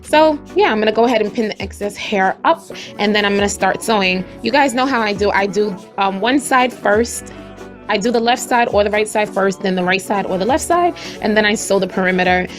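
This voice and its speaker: A young woman with a raspy voice, chatting casually and slangily to her viewers as she walks them through a hair tutorial. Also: medium pitch, a little fast, faint music behind.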